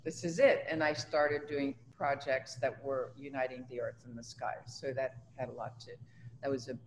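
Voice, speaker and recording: A woman's voice: extremely low pitch, fairly even intonation, moderate pace. A woman in her seventies from Tunisia, an artist talking conversationally about her own life and work in an interview. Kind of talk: conversational personal recollection. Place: indoors in an art studio. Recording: reverberant room, faint background noise.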